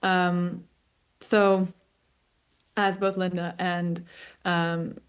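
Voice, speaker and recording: A woman in her twenties, raised between Israel and the US, giving a personal talk as a guest speaker over a video call, pausing often with ums and restarts. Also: on the low side, level tone, smooth. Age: 20s. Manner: thoughtful, many ums and restarts. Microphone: remote video call.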